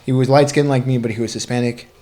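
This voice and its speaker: Male American podcast talker, average pitch, casual and slangy, profane, swapping memories with friends. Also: steady tone, fast, warm tone. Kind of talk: casual chat, reminiscing with friends.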